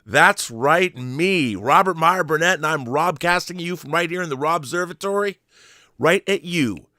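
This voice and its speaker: A man's voice: fairly high pitch, normal speed, sharp tone, no room sound, studio quality. An American man, effusive and theatrical, hosting a casual fan interview show. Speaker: talk show host. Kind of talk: showman intro, then casual fan interview.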